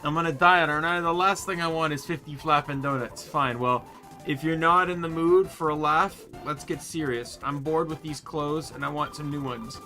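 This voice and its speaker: A man acts out a young fairy girl from a video game who fancies herself royalty. The voice is bossy and teasing, slightly high and bright. Faint music runs behind it. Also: fairly even intonation, normal speed. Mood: teasing, impatient. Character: bossy, cheeky young fairy girl, self-styled queen.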